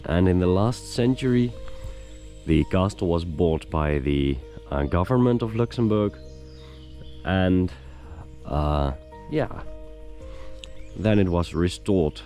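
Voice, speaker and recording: A man with a hoarse voice, narrating his hike in an unhurried, hesitant way as a travel vlogger. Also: sits low, animated, warm tone, faint music behind. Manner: unhurried, hesitant, explaining as he walks. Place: outdoors on a hiking trail.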